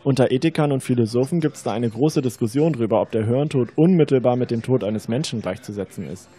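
A man plays a young male student in an educational radio play. He talks quickly in a soft, mellow mid-range voice. His rehearsed lines sound questioning and sceptical. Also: medium pitch, breathy edge, natural voice. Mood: sceptical, uneasy. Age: young adult.

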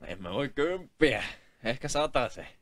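A man's voice: mid-range voice, sing-song. A man voicing a shrewd, bossy pawnbroker in a comic amateur dub, haggling in a blunt, teasing, exclamatory way.

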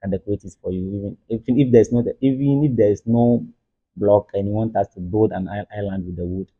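A Ghanaian man speaks in a low, husky voice. He answers casually as an interview guest, haltingly and with repeated words. The sound is narrow, like a phone line. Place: furnished room, seated in armchairs. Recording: thin, muffled phone-like sound.

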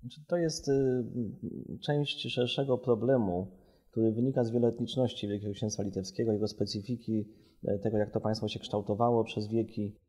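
A man from Poland with a mellow, fairly low voice speaks as a researcher on a podcast. He is discursive, unfolding arguments in long analytical chains. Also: fairly even intonation, normal speed.

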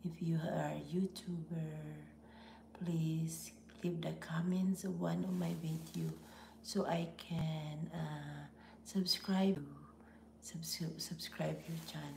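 A middle-aged woman with a Filipino accent, hoarse from laryngitis, hosting a casual live stream from home with slow, half-whispered greetings. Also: low-pitched, fairly even intonation, mellow, echoey room, slight background noise. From Philippines. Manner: breathy, half-whispered, slow with frequent pauses and throat clearing. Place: small home office room indoors.

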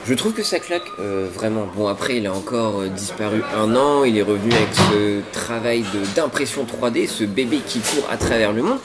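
Young Frenchman over clear music. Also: on the low side, lively intonation, normal speed, few pauses. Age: 20s. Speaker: co-host of a web culture show. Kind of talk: casual presenting to camera. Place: diner booth with red seats.

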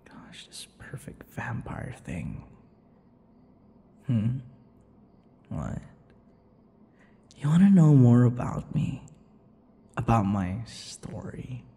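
A man voicing a possessive, centuries-old vampire in an intimate ASMR boyfriend roleplay, teasing and breathy, often dropping toward whispers. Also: mid-range voice, sing-song, extremely slow, pauses often, warm tone, a little hiss or hum, exaggerated voice. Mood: reminiscing. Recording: long reverberant echo.

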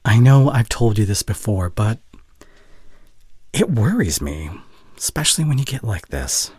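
A man playing a soft, breathy, caring boyfriend. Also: on the low side, big pitch swings, measured pace, dry recording, heavily acted. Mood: concerned, tender. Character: caring, worried young boyfriend, gentle and earnest. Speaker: audio roleplay performer. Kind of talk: intimate boyfriend audio roleplay. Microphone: close studio condenser microphone.

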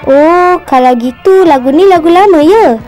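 A woman's voice: extremely high pitch, moderate pace, a little mellow, faint music behind. A woman with a Singaporean Malay accent voicing a curious, cheerful young girl in a cartoon, in an inquisitive manner. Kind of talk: cartoon dialogue.

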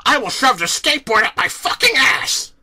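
Man as deranged puppet daredevil, showy announcing, forceful, swinging pitch, parody story. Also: sing-song, moderate pace, exaggerated voice. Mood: crazed, showy. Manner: announcing stunts, yelling. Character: crazed, foul-mouthed male puppet stunt performer.

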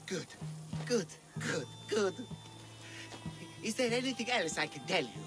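A man voicing a hapless, cowardly villager who poses as a monster slayer, theatrical, bumbling and excitable, in a video game. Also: high voice, unhurried, music in the background, exaggerated voice. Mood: eager. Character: hapless, melodramatic, cowardly male villager posing as Minotaur slayer. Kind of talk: video game character acting.

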